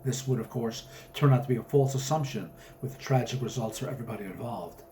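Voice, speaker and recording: A man's voice: medium pitch, fast talker, mellow, a little hiss or hum, lightly acted. A man voicing a pompous old colonel who tells florid, melodramatic adventure tales in a storybook reading. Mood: grandly ominous.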